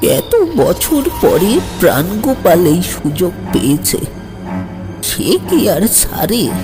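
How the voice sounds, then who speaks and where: A woman playing a frightened old male servant in an audio horror story, halting and imploring, repeating words. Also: fairly low pitch, big pitch swings, over music. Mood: grave recounting. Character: frightened old servant man, former stable hand, pleading. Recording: long hall reverb.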